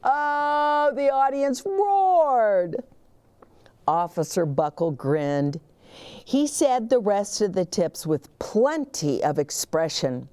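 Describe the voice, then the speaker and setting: Animated, unhurried woman reading a storybook. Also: mid-range voice, clean tone. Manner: animated, dramatic pauses and emphasis. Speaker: children's storytime reader and host. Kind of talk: expressive picture book read-aloud narration.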